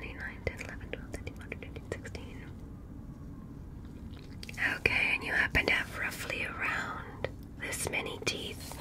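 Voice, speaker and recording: A woman playing a polite, curious alien doctor in an ASMR medical roleplay, speaking gently and carefully in a breathy whisper with formal, reassuring phrasing. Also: natural voice. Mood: calm, attentive. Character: polite, curious female alien doctor examining a human patient.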